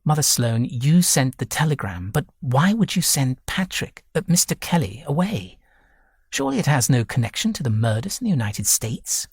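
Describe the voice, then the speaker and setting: A well-bred young gentleman, acted by a male narrator, speaking with imperious formality and control. It is a period storybook voice, recorded dry. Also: mid-range voice, normal speed, husky, dry recording, natural voice. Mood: impatient. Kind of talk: audiobook character voice.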